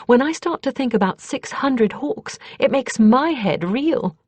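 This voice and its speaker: A woman's voice: sits high, lively intonation, a little slow, a little mellow, no room sound, voice pushed up. A woman voicing a confident, fiercely determined female mage in an audiobook, explaining things earnestly and emphatically. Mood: awed, intense. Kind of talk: audiobook character dialogue.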